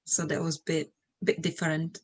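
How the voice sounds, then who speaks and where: A woman's voice: sits low, little pitch movement, fairly quick. A middle-aged woman from Slovakia speaking English with a Slovak accent, chatting as an interview guest about her own life. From Slovakia, Eastern Europe. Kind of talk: casual conversational interview, personal recollections.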